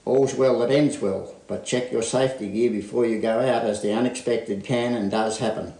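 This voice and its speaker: A man's voice: medium pitch, fairly even intonation, normal speed, warm tone. An Australian man reading a scripted rescue report as a coast guard narrator. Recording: clearly noisy voice-over track.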